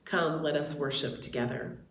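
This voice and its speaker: A woman giving a measured, formal welcome and call to worship as a church's religious education director. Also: low-pitched, a bit bright, echoey room. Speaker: director of religious exploration and seminary student.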